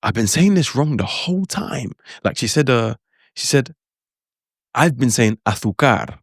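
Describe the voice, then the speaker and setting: A young man talks casually about learning a language, chatty and animated, acting out his own reactions. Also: mid-range voice, unhurried, slightly rough, dry recording, studio quality. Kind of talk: casual talking-head advice.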